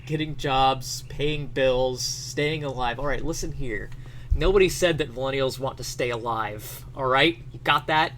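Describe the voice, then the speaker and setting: A man's voice: mid-range voice, measured pace, a bit bright, a little hiss or hum. A man doing a mock dramatic reading, theatrical in delivery, with sarcastic asides.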